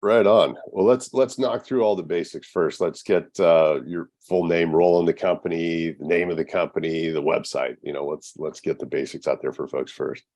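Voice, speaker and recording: A man's voice: sits low, steady tone, moderate pace, few pauses, darkish tone. A man hosting a business podcast interview, easygoing with upbeat interjections. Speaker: podcast host.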